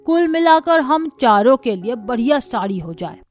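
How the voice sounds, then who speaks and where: A woman's voice: sits high, expressive, normal speed, soft background music. A woman voicing a homely, bossy elderly grandmother in a Hindi cartoon family story. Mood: requesting. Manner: homely, instructing.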